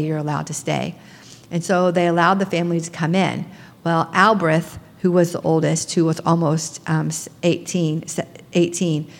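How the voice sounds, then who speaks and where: A woman's voice: sits low, a little mellow. A woman who runs a nonprofit, talking earnestly to a gathering, with many ums and restarts. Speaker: nonprofit director. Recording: long hall reverb.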